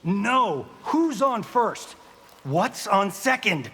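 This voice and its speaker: A vain American movie star, a man who wisecracks through a fighting game. The voice is high and swooping, unhurried and cocky, in a long reverb. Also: very animated, pushed and bright, full character voice. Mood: flippant. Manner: cocky, flippant, slangy. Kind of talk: video game character dialogue. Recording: long hall reverb, faint noise.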